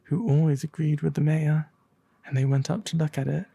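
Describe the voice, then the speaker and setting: A man playing a melancholy, genteel owl demon prince, reading a storybook aloud in a soft, refined, breathy ASMR voice. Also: a little high, steady tone, a little fast, no echo. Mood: gentle, sombre. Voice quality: breathy, soft, often half-whispered. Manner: refined, gentle, formal diction.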